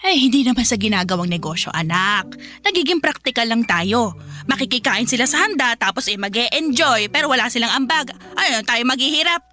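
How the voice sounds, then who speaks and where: A woman's voice: fairly high pitch, lively intonation, brisk, pushed and bright, soft background music. A woman playing a hot-tempered, money-minded mother in a radio drama, scolding in an exasperated, emphatic way. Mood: scheming, insistent.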